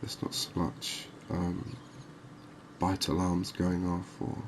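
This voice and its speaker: A man giving calm, unhurried ASMR-style commentary on a fishing game, explaining things as he plays. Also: low-pitched, slight background noise. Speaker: gaming video host.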